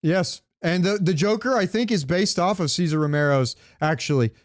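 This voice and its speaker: Clear, smooth-voiced man chatting casually. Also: high-pitched, moderate pace, dry recording, clean studio sound. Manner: opinionated, informal, swearing. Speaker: podcast co-host. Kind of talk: casual pop-culture chat.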